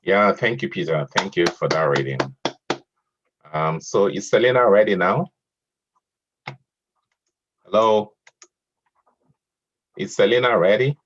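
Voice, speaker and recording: A man moderating an online poetry event, reading a poem aloud in a measured way and hesitating with fillers between speakers. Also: sits low, a bit bright. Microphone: online video call audio. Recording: muffled lo-fi call audio.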